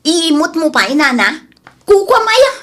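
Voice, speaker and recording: A woman's voice: extremely high pitch, very animated, unhurried, fairly bright tone, heavily acted. A woman playing a stubborn, grabby village housewife who argues back in whining retorts, in a comedic radio drama. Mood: indignant, whining.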